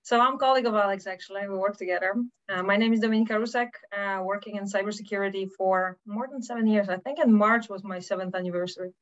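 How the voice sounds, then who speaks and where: A woman's voice: medium pitch, fast talker, few pauses. A woman guest on a podcast explaining technical things conversationally, often checking in with the listener. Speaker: podcast guest, OT security specialist. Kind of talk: casual explanatory chat. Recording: dull lo-fi audio.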